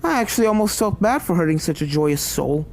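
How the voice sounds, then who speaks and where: A woman acts out a cruel unicorn villainess in a cartoon horror story. She is raspy and sneering, taunting her victims with unhinged glee. Faint music sits behind her. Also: on the low side, animated, brisk, darkish tone. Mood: gloating.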